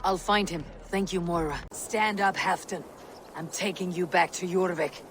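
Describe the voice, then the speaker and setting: A woman's voice: on the low side, moderate pace, a bit bright, natural voice. Woman as blunt, stern Viking warrior. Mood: commanding. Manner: firm, blunt, commanding. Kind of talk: dramatic video game acting. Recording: clear background ambient noise.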